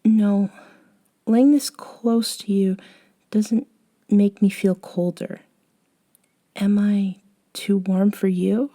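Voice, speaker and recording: A woman acting as a gentle, caring young woman in an intimate ASMR audio drama. Her voice is dark and mellow, and she talks slowly and hesitantly. She often softens into a breathy whisper, with a tender, slightly wistful temper. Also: medium pitch, steady tone. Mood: shy, tender. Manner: soft, hesitant, often sighing and dropping to a whisper.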